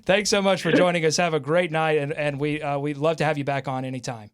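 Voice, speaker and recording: Male American sports show host, smooth clean tone, slightly high pitch, live interview chat. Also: fairly even intonation, quick pace.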